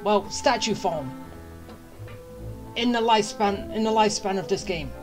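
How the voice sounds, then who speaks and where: A woman's voice: medium pitch, expressive, moderate pace. A woman giving casual, chatty gameplay commentary, musing aloud as she plays. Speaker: game streamer. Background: game music.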